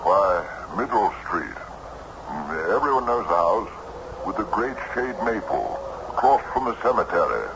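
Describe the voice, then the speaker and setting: Haunted lost traveler, noisy recording. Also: male voice, lowish voice, very animated, vocal fry, dull audio, full character voice. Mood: weary, lost. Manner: formal archaic speech, halting and agitated. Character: haunted, weary, lost male traveler, driven and confused. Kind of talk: vintage radio drama acting. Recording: noisy band-limited old radio recording.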